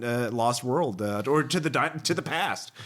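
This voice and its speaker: A man hosting a casual group brainstorming chat, excitable as he runs through ideas. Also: medium pitch, normal speed. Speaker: podcast host.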